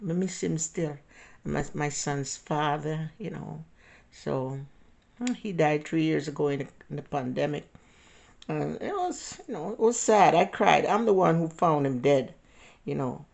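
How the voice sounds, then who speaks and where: An older woman with a Jamaican accent tells stories about her own life in a loose, rambling way, often correcting herself and laughing softly. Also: deep voice, gravelly. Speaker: interviewee. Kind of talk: casual personal storytelling.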